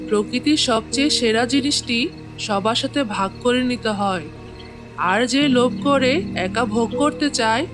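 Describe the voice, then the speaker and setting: A woman's voice: a little high, natural voice. Elderly cartoon grandmother, played by a woman, caring, grave storyteller who warns, light music underneath. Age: older. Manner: admonishing, storytelling. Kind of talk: animated moral-tale cartoon acting.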